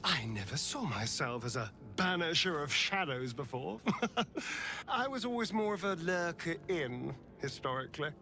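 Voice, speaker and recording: A sly, wry vampire spawn. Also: male voice, fairly high pitch, animated, normal speed, pushed and bright, soft background music. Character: wry pale vampire spawn rogue man, sly and haunted. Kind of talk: video game voice acting.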